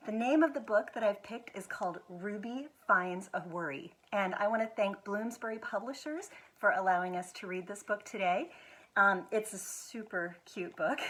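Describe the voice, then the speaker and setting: An American woman reads a picture book aloud to children. Her voice sits at an ordinary pitch for a woman and has a husky, slightly rough edge. She is expressive and leans on key words. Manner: expressive, with drawn-out emphasis and quiet asides to show pictures. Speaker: executive director of a schools foundation, guest reader.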